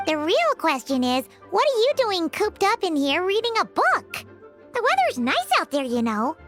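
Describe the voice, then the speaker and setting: A woman voicing a tiny, chirpy, childlike fairy companion in an anime-style game, squeaky and perky. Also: wildly swooping pitch, normal speed, a bit bright, faint music behind, heavily acted. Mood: chatty. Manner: perky, refers to herself in third person.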